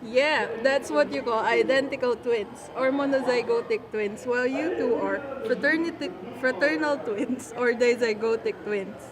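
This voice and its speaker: A woman playing a teasing, slightly reluctant doctor in an amateur puppet show, explaining things to children haltingly. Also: on the high side, a crowd in the background, close to an everyday voice. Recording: noisy amateur room recording.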